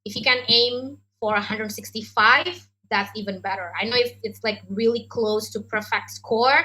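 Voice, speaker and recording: A woman's voice: mid-range voice, bright, forceful tone, low-quality recording. An Indonesian woman, speaking English as a second language, answers questions as an education adviser in a chatty way full of ums and likes. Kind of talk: online Q&A session.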